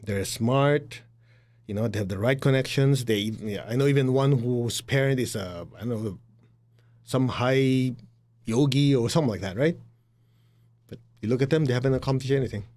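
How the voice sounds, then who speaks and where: A man's voice: medium pitch, moderate pace, darkish tone. Blunt middle-aged man, Chinese accent. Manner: blunt and direct, acts out quoted voices, laughs at own jokes. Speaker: spiritual teacher. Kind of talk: motivational spiritual talk.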